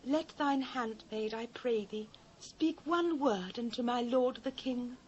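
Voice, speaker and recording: A British actress playing a humble, pleading widow in mourning in a dramatised Bible, with formal archaic phrasing. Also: female voice, fairly high pitch, slow pace, darkish tone. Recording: dull old cassette transfer.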